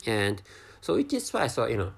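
A man with a Japanese accent, a native Japanese speaker, talking alone on his podcast in slow, halting English full of restarts and repeated words. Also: mid-range voice, huge pitch swings, creaky, slightly rough. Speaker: podcast host. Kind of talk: casual solo podcast monologue.

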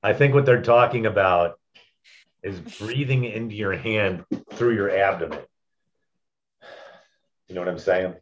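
Man, deep loud voice, relaxed instructor explaining speaking technique; dull lo-fi recording. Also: a little slow, pauses often. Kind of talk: casual teaching chat.

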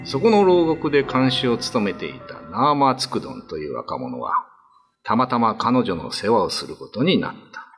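A male narrator reads a scripted ghost tale for radio drama. His voice has a husky grain, and soft music plays behind him. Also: medium pitch, sing-song, normal speed.

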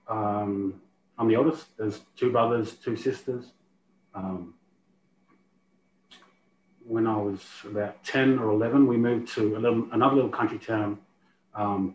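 A soft-spoken Australian man talks slowly about his life, pausing often to gather his words. His voice sits a little low and comes through a roomy, slightly muffled recording with faint hiss behind him. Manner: soft-spoken, hesitant, many ums. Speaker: rugby league player, guest. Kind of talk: casual interview chat.

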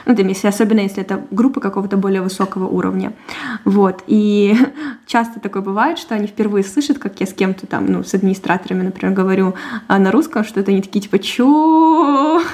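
A woman co-hosting a casual podcast with a mid-pitched voice. She talks in an animated way, breaking into laughter often as she shares her experiences. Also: fast. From Russian- and Ukrainian-speaking. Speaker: podcast co-host. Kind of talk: casual chat.

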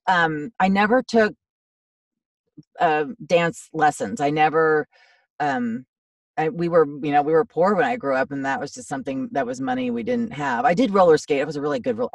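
A woman in her fifties with a low, raspy, gravelly voice talks loosely and at length, laughing at herself as she goes. She sounds a little back from the microphone in a dry, dead room. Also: dry recording, recorded from a distance. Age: 50s. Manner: chatty, rambling, self-deprecating. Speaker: voiceover actor guest. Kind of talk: casual podcast interview.

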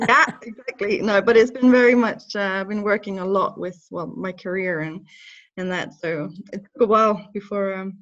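A Norwegian woman in her thirties, with an accent mixing Norwegian and Bristol English, speaking haltingly as an interview guest and laughing often. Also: medium pitch, fairly even intonation, fairly bright tone. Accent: Norwegian mixed with Bristol English. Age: 30s. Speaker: hair and makeup educator. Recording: dull lo-fi recording.

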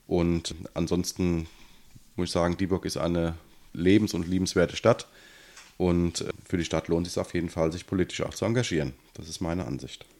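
Very deep-voiced man in his forties. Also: a little mellow. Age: 40s. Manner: measured, hesitant with fillers. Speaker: city council member, guest. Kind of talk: podcast interview.

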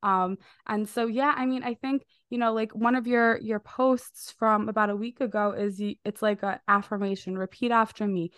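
A woman's voice: mid-range voice, steady tone, moderate pace, hardly any pauses, clean tone, dry recording, studio quality. A young adult woman hosting a podcast interview, sounding a little nasal from a recent illness, enthusiastic with frequent fillers. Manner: warm enthusiastic, many fillers. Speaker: podcast host.

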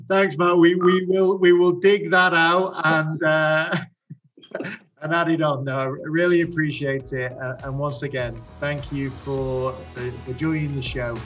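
A man's voice: a little high, level tone, takes it slowly, a bit bright, soft background music. A man hosting a podcast interview, asking questions while stumbling and repeating words. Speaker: podcast host. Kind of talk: casual interview.

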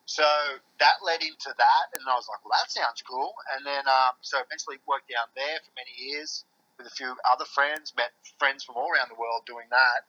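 A man's voice: mid-range voice, brisk, pushed and bright, muffled recording. An Australian man guesting on a podcast, chatting casually and rambling with lots of fillers and restarts. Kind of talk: casual chat.

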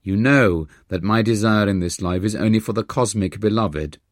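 A man's voice: fairly low pitch, moderate pace, no room sound, clean studio sound, close to an everyday voice. A man voicing an earnest, devout young disciple in an audiobook, speaking with fervent respect. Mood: protesting.

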